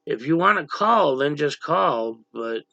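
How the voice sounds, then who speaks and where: A man hosting a casual livestream with a gravelly voice, talking bluntly to viewers and snapping at chatters who annoy him. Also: on the high side, lively intonation, a little slow, bright, forceful tone, dry recording, dull audio. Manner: blunt, irritated, snaps at chatters. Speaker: livestream host. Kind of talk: casual livestream chat reading viewer comments. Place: dim room at home, power out.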